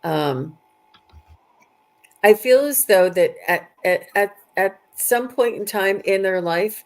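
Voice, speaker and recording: A woman hosting a live stream, chatting casually and giving readings to viewers, often halting and repeating phrases as she thinks aloud. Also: mid-range voice, animated.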